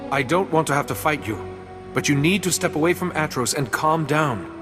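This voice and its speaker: An actor playing a calm, patient male Jedi who speaks in a measured, polite way in a video game. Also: fairly high pitch, in a large hall, music in the background, natural voice.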